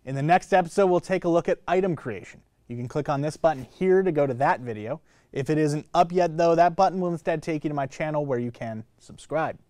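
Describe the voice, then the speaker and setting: A young adult man hosting a casual software tutorial, thinking aloud in an informal, playful, rambling way. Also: on the high side. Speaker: tutorial host. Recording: clear background noise.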